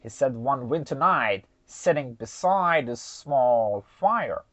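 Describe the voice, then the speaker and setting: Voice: mid-range voice, animated, takes it slowly, no echo. A storyteller hosting a folktale for children, narrating theatrically with chatty, casual asides. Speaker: storyteller and host. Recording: slightly dull, lo-fi microphone sound.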